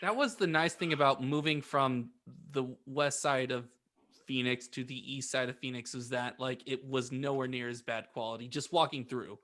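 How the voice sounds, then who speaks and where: A man's voice: mid-range voice, fairly even intonation, normal speed, fairly bright tone. A man co-hosting a podcast with casual, joking banter. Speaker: podcast co-host.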